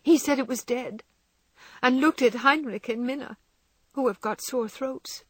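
A woman voicing a gentle, frail young girl who speaks softly, often near a whisper. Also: high-pitched, measured pace, no echo, voice pushed up. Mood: sad.

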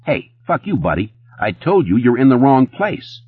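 A man's voice: low-pitched, very animated, measured pace, breathy edge, dry recording, phone-quality sound, full character voice. A man voicing a mean, belligerent bouncer with a coarse, sneering manner. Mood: angry. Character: belligerent, mean male bouncer, wise-cracking tough guy.

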